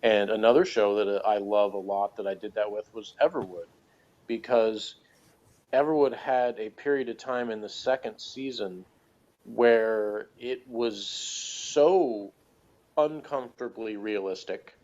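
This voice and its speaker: A man with a fairly low voice chats casually about shows as a co-host. He rambles hesitantly, backing up to rephrase. The recording is somewhat muffled and lo-fi. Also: unhurried, darkish tone. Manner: hesitant, rambling, self-correcting. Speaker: podcast co-host reviewing TV shows. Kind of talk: casual chat. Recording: dull lo-fi audio.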